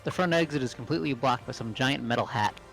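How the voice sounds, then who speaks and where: A man's voice: medium pitch, fast, vocal fry, a bit bright, faint music behind. A man playing an agitated, baffled teenage male student in an amateur game dub. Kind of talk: amateur game character acting.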